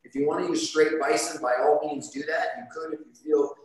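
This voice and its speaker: Chef talking through a recipe in an echoey kitchen. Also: male voice, a little high, a little fast. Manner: relaxed, explanatory, chatty. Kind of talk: live cooking demonstration. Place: large studio kitchen set. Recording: echoey kitchen with light background noise.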